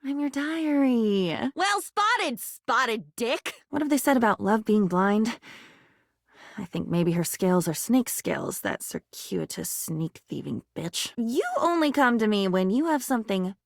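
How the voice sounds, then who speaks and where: A woman's voice: on the high side, very animated, unhurried, vocal fry, dry recording, clean studio sound, heavily acted. A woman voicing a living diary in a video game, bitter, wounded and theatrical, dramatic and sarcastic as she swings between confiding and outburst. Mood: whimsical, manic. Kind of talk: video game character voice acting.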